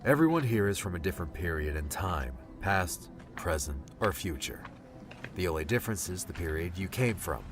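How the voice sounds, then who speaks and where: A man voicing a brisk, matter-of-fact male guide with dry sarcasm in an audiobook, explaining things a little impatiently. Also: low-pitched, expressive. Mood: dryly sarcastic. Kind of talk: audiobook character dialogue. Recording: music behind the voice.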